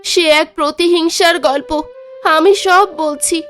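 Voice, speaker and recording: A woman playing a gentle, sorrowful young princess in a fairy-tale cartoon. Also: extremely high pitch, steady tone, a little slow, soft background music. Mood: sorrowful recounting.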